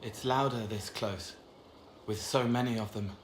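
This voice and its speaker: A young man playing a gentle, haunted spirit in human form for a video game, speaking in halting fragments. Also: lowish voice, steady tone, roomy sound, a little hiss or hum, natural voice. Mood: soft, sorrowful. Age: young adult. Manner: halting, fragmented, murmured phrases. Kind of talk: dramatic video game acting.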